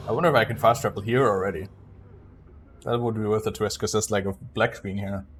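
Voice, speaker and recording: A man's voice: on the low side, steady tone, a little fast, faint music behind. A man giving casual live gameplay commentary as a speedrunner, muttering and thinking aloud. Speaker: speedrunner streaming a video game.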